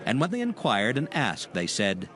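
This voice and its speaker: A man narrating scripture from a script in a solemn, measured storytelling manner. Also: mid-range voice, huge pitch swings, a bit bright, a faint crowd.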